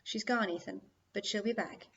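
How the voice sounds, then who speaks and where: Woman voicing a wry, unhurried talking spaceship. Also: mid-range voice, a bit bright, lo-fi sound, slight background noise, natural voice. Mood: dry, wry. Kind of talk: audiobook character dialogue.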